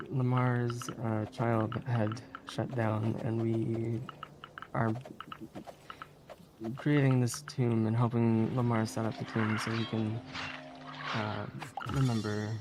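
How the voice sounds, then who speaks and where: A speedrunner giving casual live commentary in a halting, hesitant way, sometimes giggly. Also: medium pitch. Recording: clearly noisy stream audio.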